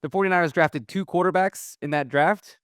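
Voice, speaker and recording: A man interviewing a guest in a relaxed, rambling way, his questions wandering and padded with "like" and "I mean." His mid-pitched voice is smooth and clear, recorded close and dry. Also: a little fast, no room sound. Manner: loose, rambling questions with many fillers. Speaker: podcast host, interviewer.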